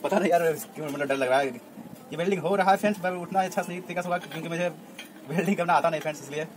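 Young guy, talks quick and chatty, lots of loud noise behind him outdoors. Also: fairly high pitch. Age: young adult. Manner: chatty, keeps addressing viewers as friends. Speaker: DIY experiment vlogger. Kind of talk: casual step-by-step demo narration.

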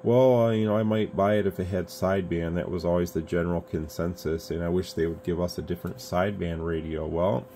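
A man's voice: low voice, brisk, hardly any pauses. An American man giving casual, unscripted product commentary as a video host, rambling along conversationally. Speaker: radio reviewer, video host. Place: indoors at an electronics radio bench. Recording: steady background noise, room ambience.

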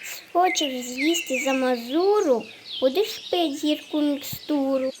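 A woman's voice: high voice, slow pace, noisy background. A woman voicing a cheerful little round bread bun in a cartoon, chanting rhymes in a sing-song way, with birds chirping behind. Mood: pleading. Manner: sing-song rhyming boasts.

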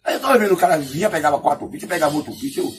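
A Brazilian man with a Bahian accent tells a casual anecdote in an excitable, slangy, repetitive way, acting out bits of dialogue. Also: fairly high pitch, racing, a little hiss or hum. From Salvador, Bahia, Brazil. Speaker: comic storyteller. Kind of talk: animated casual anecdote telling.

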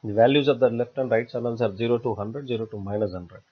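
A man narrating a step-by-step software tutorial in a halting, explanatory way. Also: medium pitch. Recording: dull lo-fi voice-over recording.

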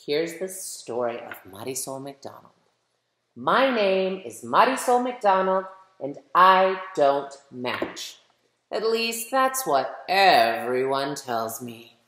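Echoey, unhurried American woman reading to children. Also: deep voice. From United States. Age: middle-aged. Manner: animated, emphatic storytelling, addressing young listeners directly. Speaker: children's author reading aloud. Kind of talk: picture-book read-aloud for children, with chatty asides. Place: medium living room at home, indoors. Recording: roomy, echoey home recording.

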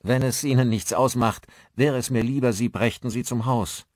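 A man's voice: medium pitch, fairly even intonation, moderate pace, a little mellow, no echo, studio quality, voice pushed up, close to an everyday voice. A man voicing a polite, questioning male newcomer to a village in an audiobook.

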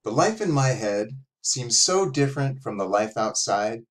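A man's voice: mid-range voice, sing-song, a little slow. Man reading as a self-conscious seventh-grade boy. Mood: reflective, earnest. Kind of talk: expressive reading of verse novel. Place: small room at home, indoors.

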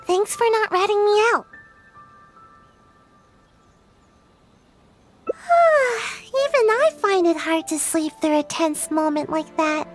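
A woman's voice: very high-pitched, exaggerated voice. A woman voicing a sleepy, lazy little girl ninja who whines and pleads. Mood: relieved. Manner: drowsy, whiny, pleading. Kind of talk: game voice acting. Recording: game score behind.

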